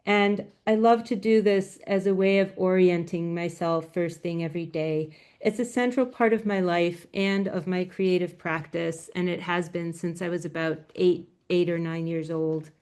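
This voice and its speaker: A woman giving a talk as a guest speaker over a video call, speaking with a quiet, soft-spoken voice. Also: lowish voice, steady tone, moderate pace, hardly any pauses, clean tone. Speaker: guest speaker, graphic novelist.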